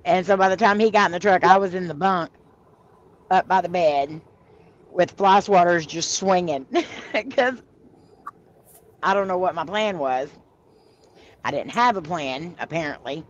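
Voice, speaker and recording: A woman hosting a live stream over a video call, telling stories in a chatty, animated way and laughing as she goes. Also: fairly low pitch, a little slow, pauses often, a little hiss or hum. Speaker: host of a paranormal live stream. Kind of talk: casual storytelling chat. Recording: slightly muffled video-call audio.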